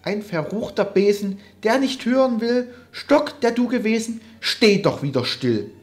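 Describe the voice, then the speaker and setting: A woman's voice: fairly high pitch, lively intonation, measured pace, a little mellow. A woman voicing a young male sorcerer's apprentice, cocky and easily panicked, in a dramatic poetry recitation. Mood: angry, threatening. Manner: theatrical, exclamatory.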